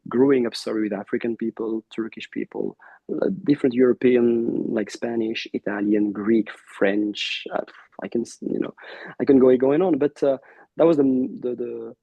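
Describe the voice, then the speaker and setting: Husky, reflective Belgian-raised man. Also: lowish voice, dry recording, lo-fi sound. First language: Arabic. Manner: warm, reflective, many restarts and filler words. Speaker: guest. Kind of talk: casual interview chat.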